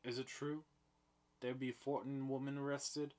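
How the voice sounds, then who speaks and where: A man reading the part of an angry, guilt-torn middle-aged farmer, forceful and rising to shouts. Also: mid-range voice, little pitch movement, measured pace, pitched above the performer's own voice, close to an everyday voice. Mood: stern.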